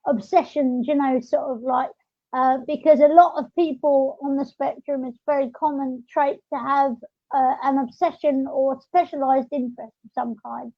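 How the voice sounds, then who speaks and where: Female podcast host, high voice, rambling and halting, close dry but dull lo-fi audio. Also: steady tone, no echo. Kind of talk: casual podcast chat.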